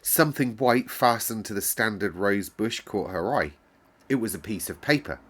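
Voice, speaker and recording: A man reading a classic children's novel aloud in a calm, measured storyteller's way. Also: lowish voice.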